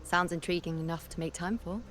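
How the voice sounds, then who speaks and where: A woman's voice: on the low side, steady tone, fairly quick, fairly bright tone, lightly acted. Woman playing a haughty young priestess over music. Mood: brash, impatient. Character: haughty, defiant young priestess woman, brash yet brooding. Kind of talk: video game voice acting. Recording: clear music behind the voice.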